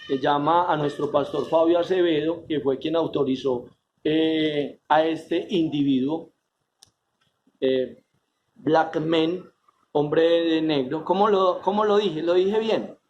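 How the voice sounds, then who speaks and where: A man preaching in a church, his voice sitting high for a man. He leans hard on key words, drawing them out, and drops in dry joking asides. The recording is muffled and lo-fi, with a faint hiss underneath. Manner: emphatic, drawn-out stresses with joking asides. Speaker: pastor. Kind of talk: sermon. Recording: dull lo-fi sermon recording.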